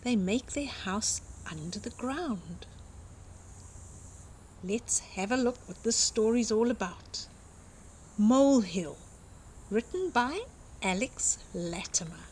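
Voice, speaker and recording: A woman's voice: medium pitch, animated, slow, pauses often, darkish tone, a little hiss or hum. An older woman reading a picture book aloud expressively to young children, with simple explanatory asides. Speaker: children's storytime reader and host.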